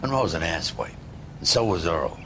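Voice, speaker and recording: An actor playing a gruff, grumbling police detective in a video game, blunt and coarse in manner. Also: male voice, sits low, very animated, moderate pace, heavy background noise.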